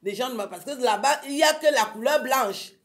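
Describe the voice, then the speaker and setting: The lively woman guest who takes over a local radio talk show with her exclaimed anecdotes. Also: high voice, brisk. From Africa. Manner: animated storytelling, exclamatory. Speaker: guest, writer on violence against women. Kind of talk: casual radio interview chat.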